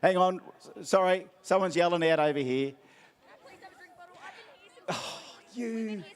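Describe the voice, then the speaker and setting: Playful Australian male event MC, fairly high voice. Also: animated, slow, smooth, some chatter behind. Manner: playful, teasing, jokey. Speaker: event MC giving out prizes. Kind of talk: live crowd banter. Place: outdoor event ground.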